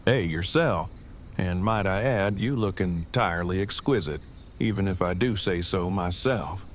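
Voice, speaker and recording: A man playing an adoring groom in an audio drama, with formal, wordy, deadpan speech. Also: low-pitched, unhurried, a little hiss or hum. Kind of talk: fan audio drama acting.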